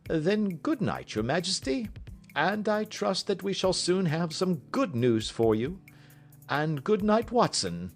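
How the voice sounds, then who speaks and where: Man voicing an airy, high-pitched gentleman detective. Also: sing-song, takes it slowly, faint music behind, heavily acted. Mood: courteous. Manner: polite, airy, precise. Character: cool, brisk, unruffled gentleman detective.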